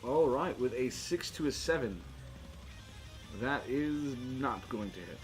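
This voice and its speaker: A man's voice: mid-range voice, lively intonation, a little slow. A man acting as game master, casually narrating tabletop fight scenes in informal, sweary language. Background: guitar music.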